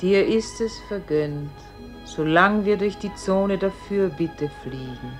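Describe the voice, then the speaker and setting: An actress playing a solemn, gentle celestial voice that guides a soul, speaking in a measured, exalted, incantatory way in a radio play. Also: female voice, medium pitch, faint music behind, lightly acted. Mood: gentle, grave. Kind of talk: solemn classic German radio play acting.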